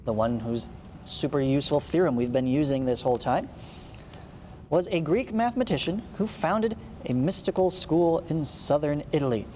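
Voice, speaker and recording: A man's voice: fairly high pitch, lively intonation, moderate pace, darkish tone, big-room sound, a little hiss or hum. A man teaching a read-along lesson, reading aloud and explaining directly to the listener as he goes. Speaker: geometry teacher.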